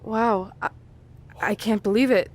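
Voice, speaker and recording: A woman's voice: medium pitch, fairly even intonation, unhurried, close to an everyday voice. A woman acting a sensible, teasing young female wrestler in a video game cutscene, with a wry, nagging way of talking. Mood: concerned.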